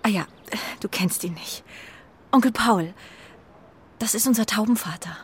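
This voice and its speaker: Proud shaken lady pigeon, earnest n hesitant, goes hushed, kids radio play. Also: mid-range voice, a little slow, slightly rough. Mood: frightened, grieving. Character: earnest, proud young female racing homing pigeon, shaken. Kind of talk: children's radio play acting.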